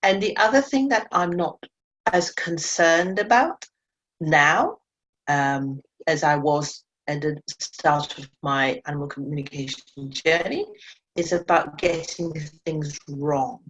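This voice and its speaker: A woman's voice: deep voice, slow, a bit bright, slight background noise. A woman teaching animal communication in a webinar, speaking earnestly and reflectively with frequent self-corrections; English is not her first language. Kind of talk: webinar talk to learners. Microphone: video call mic. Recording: dull lo-fi webinar audio.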